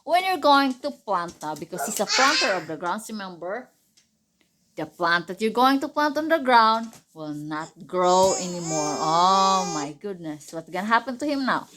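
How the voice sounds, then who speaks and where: A woman's voice: medium pitch, very animated, moderate pace, noisy background. A woman telling a Bible story to a young child in simple, non-native English phrasing, often asking questions and acting out dialogue. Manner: asks the listener questions, acts out dialogue.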